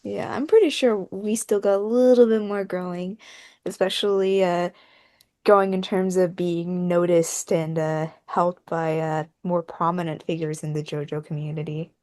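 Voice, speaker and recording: A woman's voice: on the low side, steady tone, moderate pace, darkish tone, no echo, clean studio sound. A woman hosting a casual podcast chat, talking in a wordy, meandering way peppered with filler phrases. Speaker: podcast host and fandub team member.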